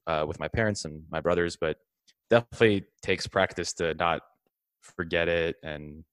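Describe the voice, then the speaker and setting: Young man, very low-pitched, dry close sound, relaxed anecdotal delivery. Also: moderate pace, smooth, no echo. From Greece, raised in Egypt. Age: young adult. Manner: relaxed, storytelling, frequent fillers and restarts. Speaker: interview guest. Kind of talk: casual conversational interview.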